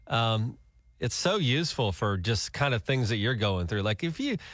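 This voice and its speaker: A man hosting a country radio show, joking and bantering quickly with his co-host. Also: medium pitch, animated, a bit bright, no room sound, clean studio sound. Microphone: studio mic.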